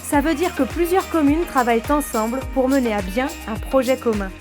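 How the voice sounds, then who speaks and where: A woman delivers scripted documentary narration in a fairly high register. Music plays clearly behind her voice throughout. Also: fairly quick.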